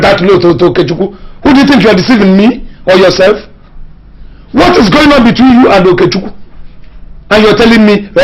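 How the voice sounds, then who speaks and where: A man's voice: high voice, a little hiss or hum, natural voice, low-quality recording. An actor with a Nigerian accent playing a distrustful middle-aged husband and father who scolds and scorns. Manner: scornful, scolding, repeats himself.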